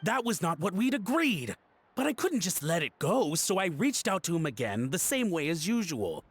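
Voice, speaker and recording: An actor playing a nervous, guilty antique collector in a video game, melodramatic and easily flustered. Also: male voice, high voice, big pitch swings, moderate pace, husky, no room sound, soft background music, full character voice. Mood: sheepish, confiding. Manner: flustered stammering and melodramatic pleading. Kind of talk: voice-acted game dialogue.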